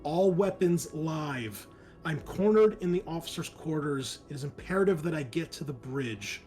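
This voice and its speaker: A man's voice: a little high, expressive, normal speed, a little mellow, soft background music, voice pushed up. A man playing a commanding female starship captain giving a formal, urgent military announcement in a tabletop game.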